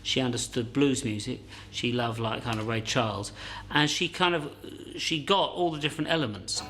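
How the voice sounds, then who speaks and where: A man's voice: medium pitch, normal speed, sharp tone. A man in an interview reminiscing with emphatic, repeated phrasing.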